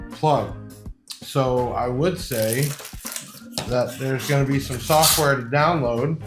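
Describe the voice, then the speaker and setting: Man, mid-pitched, casual product reviewer, off-the-cuff explaining, echoey room, faint music.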